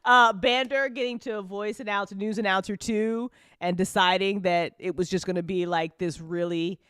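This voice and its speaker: A woman's voice: mid-range voice, steady tone, normal speed, a bit bright, clear, resonant tone, no echo, studio quality. A woman hosting a casual live chat as a show creator, talking in a rambling, giggly, informal way.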